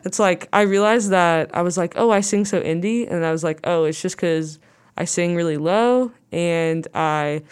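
Young woman guest, low smooth voice, rambling casual talker. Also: normal speed. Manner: rambling, says "like" a lot. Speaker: guest musician. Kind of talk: casual interview chat.

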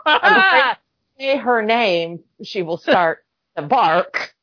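A woman's voice: on the high side, very animated, no echo. A woman chatting casually with friends, joking and swearing freely. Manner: slow, drawn-out, profane banter. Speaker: group chat participant. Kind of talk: casual group chat. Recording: dull lo-fi sound.

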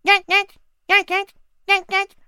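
A man's voice: very high-pitched, monotone, slow pace, bright, forceful tone, dry recording, studio quality, pitched above the performer's own voice, exaggerated voice. A man imitating the calls of forest songbirds in a chirpy, whistly way. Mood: chirping.

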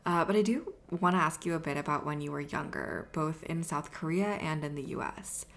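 A woman's voice: deep voice, normal speed, creaky, a bit bright. A woman hosting a podcast, reading a scripted opening and then asking her guest questions in an encouraging way. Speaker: podcast host.

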